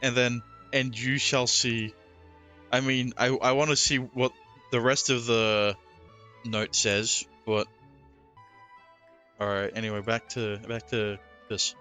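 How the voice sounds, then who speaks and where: A man's voice: medium pitch, little pitch movement, slow pace, long pauses, bright, forceful tone, soft background music. A man doing casual live gameplay commentary, informal, reactive and prone to swearing, close on the microphone. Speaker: game streamer.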